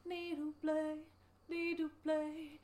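A woman's voice: sits high, little pitch movement, slow pace, a little mellow. A woman singing an advertising jingle, recorded with an echoey sound. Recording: echoey, reverberant vocal.

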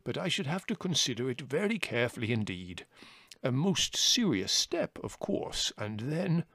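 A man's voice: medium pitch, sing-song, moderate pace, a bit bright, no room sound, pitched above the performer's own voice. A man voicing an aging chief rabbit in a storybook, drawling, over-polite, detached and condescending. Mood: politely dismissive. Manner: drawling, over-polite, unruffled, with musing repetitions.

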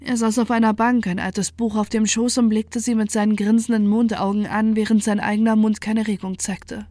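A woman's voice: medium pitch, flat delivery, normal speed, warm tone, no echo, studio quality. A woman reading a scripted first-person horror story as narrator, in a sober and controlled manner.

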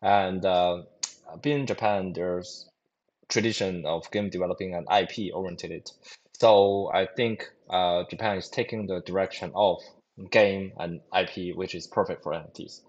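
Deep-voiced man, halting answers. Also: steady tone, muffled recording. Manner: hesitant, many fillers and restarts, non-native phrasing. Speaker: guest, company founder. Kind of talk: casual interview answers.

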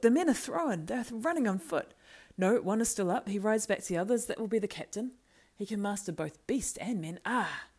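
A woman voicing a loyal male soldier on guard, grave and fervent, with solemn, impassioned, archaic speech in a storybook reading. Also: deep voice.

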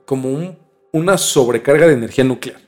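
A man's voice: medium pitch, brisk, darkish tone, faint music behind. A man with a Mexican accent explaining things in casual conversation as a podcast co-host, informally and repeating words for emphasis.

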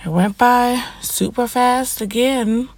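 A young woman hosting her own podcast, talking frankly with many pauses, her voice a little stuffy from allergies. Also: on the high side, steady tone, darkish tone, no room sound. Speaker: podcast host. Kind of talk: casual solo podcast monologue.

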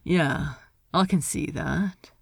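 A woman voicing a gruff, sullen male pirate captain in a storybook reading, terse and casual. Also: sits low, slow pace, no room sound, studio quality, voice pushed down, exaggerated voice. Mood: laconic. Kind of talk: character voice in a fan fiction reading.

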